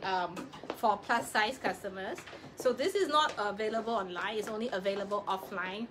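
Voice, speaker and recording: A woman's voice: a little high, noisy background. A woman hosting a live fashion sales show in a chatty, effusive, coaxing way.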